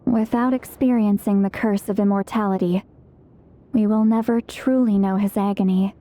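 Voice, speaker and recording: An actress playing a composed, thoughtful female warrior in a video game, measured and respectful. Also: medium pitch, steady tone, mellow, natural voice. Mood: sober respect. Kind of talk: dramatic video game acting.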